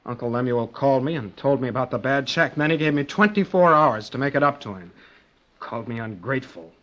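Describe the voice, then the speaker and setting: A man's voice: mid-range voice, animated, a little fast, fairly bright tone, dull audio, lightly acted. An actor as a guilty, hesitant young man. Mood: rueful. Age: young adult. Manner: hesitant, pleading, defensive. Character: guilty, desperate young nephew under suspicion. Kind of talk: old-time radio drama acting.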